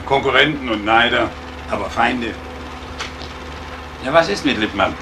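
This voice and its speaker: An actor playing a guarded, long-serving scrapyard worker in a radio crime drama, answering in a dry, hesitant, matter-of-fact way. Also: male voice, mid-range voice, measured pace, natural voice. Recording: clearly noisy, outdoor-like ambience.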